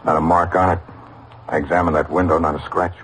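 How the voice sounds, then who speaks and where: Deep, brisk detective lieutenant. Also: male voice, flat delivery, a bit bright. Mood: matter-of-fact. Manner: clipped, matter-of-fact reporting. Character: skeptical, matter-of-fact male police detective lieutenant. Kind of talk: old-time radio drama acting. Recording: noisy vintage radio drama recording.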